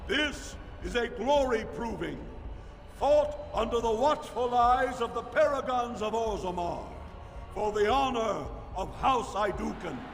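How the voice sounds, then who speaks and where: An actor playing a formal, deferential dwarf arena master in a fantasy video game, with a declamatory delivery. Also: male voice, very high-pitched, animated, measured pace, exaggerated voice. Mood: grand announcing. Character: formal, ceremonious male dwarf arena master announcing fights. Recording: long hall reverb, some background noise.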